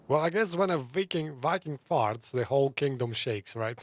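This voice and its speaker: A man streaming a game with casual live commentary, chatty and joking as he thinks aloud. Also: mid-range voice.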